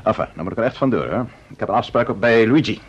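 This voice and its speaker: An actor with a Netherlands Dutch accent playing a composed, evasive amateur detective in an old-fashioned radio play, courteous and measured. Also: male voice, lowish voice, slight background noise, close to an everyday voice. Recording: dull, muffled old radio recording.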